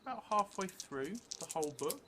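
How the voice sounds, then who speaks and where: A middle-aged man thinking aloud as he improvises a solo tabletop game, hesitant and musing with long pauses. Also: high-pitched. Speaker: solo role-player and streamer. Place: indoor theatre room, seated at a table. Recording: clear steady background noise.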